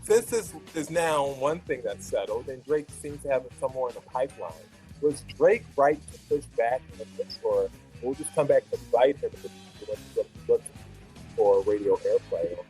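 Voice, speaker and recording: A man's voice: mid-range voice, animated, moderate pace, dark tone, faint music behind, low-quality recording. A man hosting an opinionated panel debate, blunt and needling in the way he talks. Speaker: host of a hip-hop debate show.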